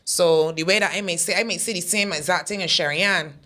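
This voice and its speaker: A woman with a Barbadian accent and a deep, raspy voice, talking bluntly as a comedian guest in a casual interview. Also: fairly quick, pushed and bright. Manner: blunt, aggressive tone.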